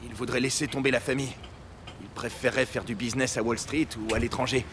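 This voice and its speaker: A male actor as a streetwise hot dog seller in a crime audio drama. He is familiar, insistent and a bit wheedling, in a medium-pitched voice. Clear street noise surrounds him. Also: steady tone, fast talker, close to an everyday voice. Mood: wheedling, gossipy. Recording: noisy street ambience.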